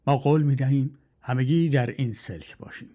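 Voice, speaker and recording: A man's voice: mid-range voice, dark tone, close to an everyday voice. A man voicing dutiful grown sons who answer their father respectfully. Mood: obedient. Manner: respectful, obedient.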